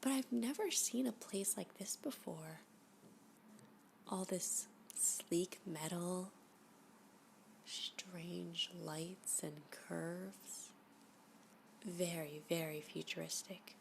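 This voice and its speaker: A woman playing a flirty, obsessive stalker in an ASMR roleplay, breathy and often whispering, with a coy, smitten manner. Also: fairly low pitch, a little hiss or hum, exaggerated voice. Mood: flirty, reassuring. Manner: coy, slow, unhurried, with giggly asides.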